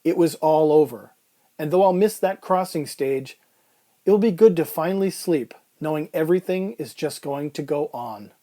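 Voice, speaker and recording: Mellow, weary dying man acted by a man. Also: on the high side, moderate pace, lightly acted. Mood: resigned acceptance. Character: weary, resigned dying man telling his strange tale. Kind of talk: first-person dramatic monologue in old-time radio style.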